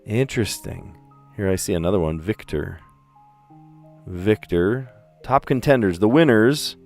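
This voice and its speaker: A lowish-voiced man with a general American accent talks his way through word puzzles on a livestream, musing aloud in a relaxed, chatty way. His diction is deliberate and careful, with the odd filler as he thinks. Faint music plays behind him. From US East Coast. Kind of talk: casual thinking aloud while solving puzzles.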